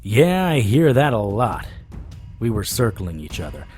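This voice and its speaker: A man's voice: on the low side, big pitch swings, measured pace, a little mellow, soft background music. A man playing a tough, wry supernatural investigator, acting and narrating in a noir audio drama. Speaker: narrator and lead character.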